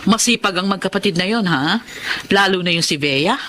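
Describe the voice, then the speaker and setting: A woman's voice: mid-range voice, a little fast, pushed and bright, a little hiss or hum, natural voice. A woman with a Filipino accent playing a kindly, effusive middle-aged mother in a radio drama. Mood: teasing, approving.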